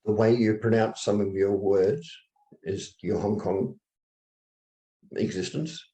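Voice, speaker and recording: A man talking as a guest in a casual conversation, measured and a little hesitant. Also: lowish voice, steady tone, warm tone, low-quality recording.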